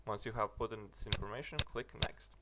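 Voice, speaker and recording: A young British man narrating a website walkthrough, halting with many fillers and restarts. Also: lowish voice, fairly even intonation, heavy background noise. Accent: British English. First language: English. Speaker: tutorial narrator. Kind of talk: screen-recorded tutorial walkthrough.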